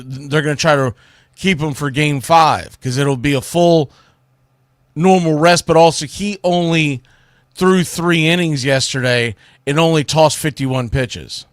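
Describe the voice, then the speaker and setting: A man's voice: on the high side, a bit bright, no room sound, clean studio sound. A man hosting a sports radio show, giving blunt, opinionated, unscripted commentary with emphatic phrasing.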